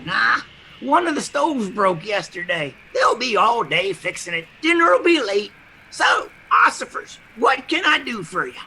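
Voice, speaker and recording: An actor playing a wily, good-hearted homeless character in an audio drama, with a gravelly voice and a folksy, playful, ungrammatical way of talking. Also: medium pitch, sing-song, unhurried, sharp tone, a little hiss or hum, exaggerated voice. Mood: playful, evasive. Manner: folksy, playful, ungrammatical drawl with mangled words.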